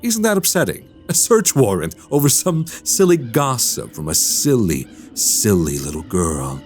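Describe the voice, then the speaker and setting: An actor in a radio drama playing a sinister, condescending man who is secretly a werewolf, speaking softly and slowly with menace. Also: on the low side, very animated, soft background music. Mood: quiet menace. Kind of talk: immersive radio drama acting.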